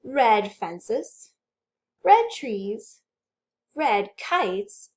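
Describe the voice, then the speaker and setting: Very animated, slow-paced woman hosting children's storytime. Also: a little high. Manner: animated, exaggerated, addressing young children. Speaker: children's librarian storytime host. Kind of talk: children's storytime read-aloud, singsong rhymes.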